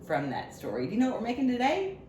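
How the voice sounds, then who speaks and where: A woman's voice: on the high side, wildly swooping pitch, quick pace. A woman hosting a children's story and cooking video, talking expressively to a small child in simple questions and casual storytelling. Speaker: library staff host of a children's story and recipe video. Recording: roomy echo, clear background noise.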